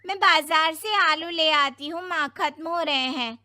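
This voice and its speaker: A woman's voice: very high voice, measured pace, sharp tone, faint music behind, heavily acted. A woman playing an outspoken, questioning young daughter in a moral-story drama. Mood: dutiful.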